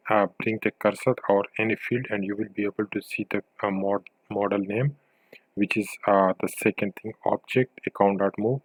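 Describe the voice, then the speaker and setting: A man presenting a screencast software tutorial as a developer, explaining step by step in halting, pieced-together phrases. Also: lowish voice, dry recording, poor audio.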